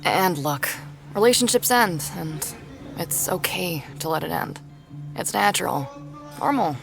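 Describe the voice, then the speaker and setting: A woman's voice: on the low side, animated, a little slow, gravelly, music in the background. A woman playing a blunt, foul-mouthed but caring drinking buddy in an audio drama, with a casual, slangy, wry delivery. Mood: frank, caring. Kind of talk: naturalistic audio drama acting.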